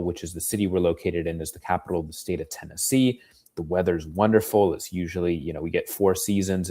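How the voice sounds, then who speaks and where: A man's voice: low-pitched, dark tone. An American man, a university dean, giving an informal, conversational webinar presentation with frequent filler phrases. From United States. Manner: conversational, frequent "you know".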